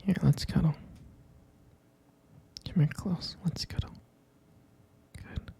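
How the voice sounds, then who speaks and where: ASMR roleplay boyfriend, male actor: tender and devoted, hushed and intimate, gravelly fry, unhurried delivery. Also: a little high, fairly even intonation, heavily acted. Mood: tender, caring. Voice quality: breathy, husky whisper. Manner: slow, doting, lingering over words. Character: tender, devoted boyfriend comforting his pregnant partner.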